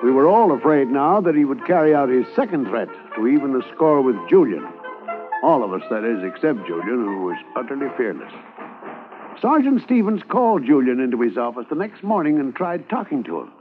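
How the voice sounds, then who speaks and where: A man narrating a radio drama in a measured storytelling manner. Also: mid-range voice, sing-song, lightly acted. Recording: tinny 1950s radio transcription with brass music.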